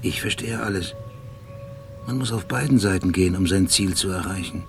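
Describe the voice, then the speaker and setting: Low-voiced brooding police detective. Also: male voice. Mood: low-key, insistent. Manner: deliberate, philosophical, precise. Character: obsessive, brooding male police detective and narrator. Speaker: actor in a radio drama. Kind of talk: radio play acting and literary monologue. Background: music.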